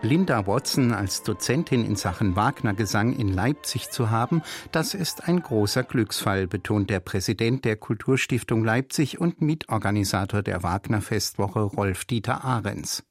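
A man narrating a scripted radio culture report in a measured, even reading. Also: on the low side.

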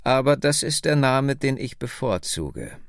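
A man voicing a proud, wistful woman in her nineties telling her family story in an audiobook, deliberate and dramatic. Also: medium pitch, very animated, gravelly, no room sound, studio quality. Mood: firm, enigmatic. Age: 90s.

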